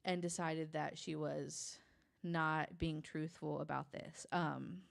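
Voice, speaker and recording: A woman recounting true crime cases in a conversational, halting and informal way, hedging as she works from notes. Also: low voice, steady tone, gravelly, no echo. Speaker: podcast guest.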